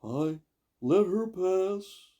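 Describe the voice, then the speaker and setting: Talking birch tree: high, very slow, wronged and reproachful, storybook style. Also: male voice, animated, mellow, full character voice. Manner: drawn-out, reproachful. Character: birch tree, slow, aggrieved, long-suffering servant.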